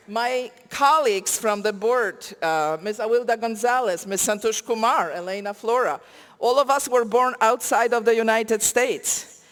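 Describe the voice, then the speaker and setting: A woman with a Polish accent gives an acceptance speech in a large conference hall, her voice carrying a long hall reverb. She talks emotionally and haltingly, stopping to search for words in her second language. Her pitch sits in an ordinary middle range. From Poland. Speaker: award recipient.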